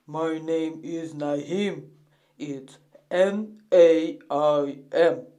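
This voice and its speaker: An extremely slow speaker gives a name in a scripted lesson dialogue. They then spell it out one letter at a time, with long pauses between letters. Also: mid-range voice, steady tone, warm tone. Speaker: quiz participant spelling a name.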